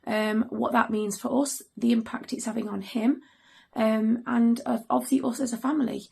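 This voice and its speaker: A hoarse woman in middle age speaks earnestly to her viewers with lots of pauses and ums. Her voice is heavily gravelly and deeply raspy, and it sits a little high for a woman. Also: fairly even intonation, a little mellow. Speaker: mother and channel host. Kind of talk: earnest serious vlog talk to camera.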